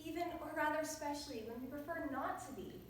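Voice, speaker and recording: A woman's voice: sits high, fast, natural voice. A teenage girl giving an earnest recitation in a readers theater performance. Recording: very echoey room, distant mic, faint noise.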